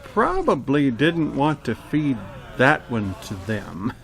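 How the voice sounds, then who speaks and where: A man's voice: mid-range voice, wildly swooping pitch, takes it slowly, vocal fry, a bit bright. A man hosting a radio theater show, reading announcements and trading remarks. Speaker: announcer and host.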